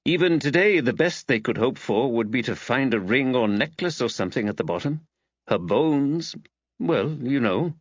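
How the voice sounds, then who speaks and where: A man voicing a thoughtful, easily dismayed woman writer in earnest, expressive audiobook dialogue. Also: medium pitch, normal speed, dry recording, muffled recording, lightly acted. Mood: earnest, pensive.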